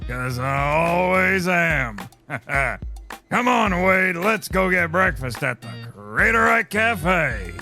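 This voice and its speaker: A man's voice: sits high, very animated, takes it slowly, bright, forceful tone, faint music behind, heavily acted. A man playing a cocky, teasing, wisecracking buddy in an audio drama.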